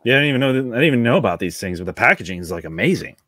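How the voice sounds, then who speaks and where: A man of medium pitch chats informally as a podcast co-host. His mic gives a dry, close sound, and he talks like a hobbyist among fellow collectors. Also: big pitch swings, rapid-fire, fairly bright tone, breathy edge, no room sound. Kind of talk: casual group chat about collectible toys.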